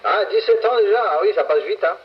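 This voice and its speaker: A dull radio channel carries a man's somewhat high voice. He speaks in casual chat, pausing to search for words and repeating phrases. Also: expressive, a little hiss or hum. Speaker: CB radio operator. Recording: muffled CB radio transmission.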